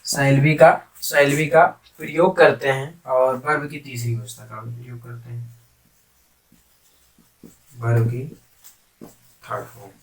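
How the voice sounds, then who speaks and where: A man teaching grammar like a classroom teacher, repeating key phrases and putting questions to his students. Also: medium pitch. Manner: repetitive, question-and-answer teaching, addressing students. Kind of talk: classroom-style grammar lesson in Hindi with English terms. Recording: distant microphone.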